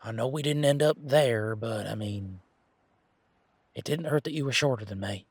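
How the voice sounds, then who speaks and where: A man playing an easygoing, teasing country-boy werewolf bodyguard in a roleplay audio drama, talking in a folksy, informal way with drawn-out hesitations. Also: medium pitch, slightly rough, no room sound. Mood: teasing, fond. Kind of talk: roleplay audio drama, one-sided conversation.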